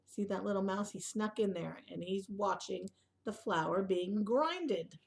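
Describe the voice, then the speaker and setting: A woman reading a picture book aloud to young children in an expressive way, with asides and questions to the listeners. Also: medium pitch, a little slow, vocal fry.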